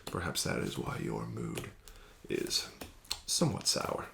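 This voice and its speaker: A man doing an ASMR roleplay as a casino card dealer, talking slowly and softly with smug, teasing patter that slips into whispers. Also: a bass voice, very animated, gravelly. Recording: audible background noise.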